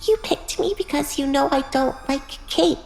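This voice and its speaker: Woman voicing a meek, high-pitched young maid. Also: normal speed, gravelly, darkish tone. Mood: earnest, hurt. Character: timid young maid, fearful, earnest. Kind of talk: audiobook drama character acting. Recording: echoey, music behind.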